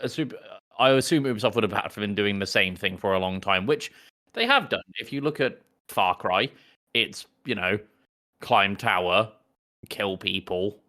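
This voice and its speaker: A man co-hosting a podcast, chatting casually about games in a halting way, pausing between phrases. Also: on the low side, sharp tone. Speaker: podcast co-host. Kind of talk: casual gaming podcast chat.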